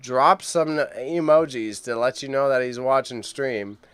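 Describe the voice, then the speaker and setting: A man hosting a casual auction livestream, chatty and excitable as he rambles to his chat, with a mild impediment on sh and ch sounds. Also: mid-range voice, measured pace, smooth, dry recording. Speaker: livestream host reacting to an online auction. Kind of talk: casual live stream commentary.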